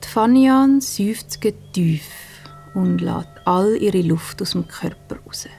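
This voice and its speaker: Very slow, hushed Swiss German woman storyteller. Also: medium pitch, expressive, faint music behind. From Switzerland. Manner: soft, soothing, breathy hushed delivery with gentle pauses. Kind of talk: scripted bedtime story narration for children.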